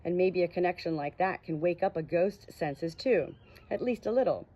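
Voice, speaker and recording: A woman's voice: on the low side, normal speed, mellow, lightly acted. A woman voicing a shy, wry and frank amnesiac ghost girl in an audiobook, with a hesitant manner. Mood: tentative. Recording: dull, muffled lo-fi audio.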